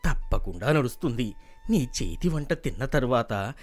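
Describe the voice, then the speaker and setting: A man voicing a gentle elderly village husband in an animated story, slow and reassuring. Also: medium pitch, soft background music, pitched below the performer's own voice.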